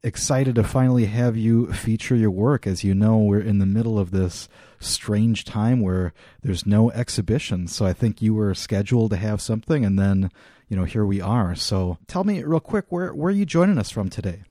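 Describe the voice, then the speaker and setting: A man's voice: fairly low pitch, moderate pace, dark tone, dry recording, clean studio sound. A man hosting a podcast in a conversational, announcer-like way. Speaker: podcast host.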